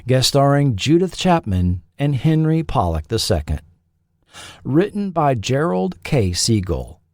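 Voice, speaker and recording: A man hosting and narrating a scripted documentary podcast, with a measured delivery and dramatic pauses. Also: medium pitch, big pitch swings, darkish tone, dry recording, studio quality.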